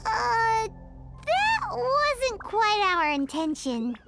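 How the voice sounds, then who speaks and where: A woman voicing a tiny, nosy fairy companion who talks about herself in the third person, with a high, squeaky, childlike voice. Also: extremely slow, creaky, loud music behind the voice, full character voice. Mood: curious. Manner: curious, excitable, refers to herself in third person. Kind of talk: video game voice acting.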